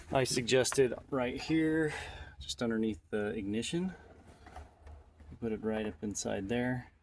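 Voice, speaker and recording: Low, gravelly man giving a hands-on tutorial. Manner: informal, explains step by step while working. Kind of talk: casual hands-on how-to narration. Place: in and around a utility vehicle in a garage or shop. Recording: noisy workshop ambience behind voice.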